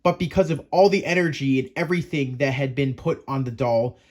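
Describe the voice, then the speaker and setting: A man hosting a scripted creature-lore video, narrating in a chatty, theatrical storytelling manner that speaks straight to the listener. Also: mid-range voice.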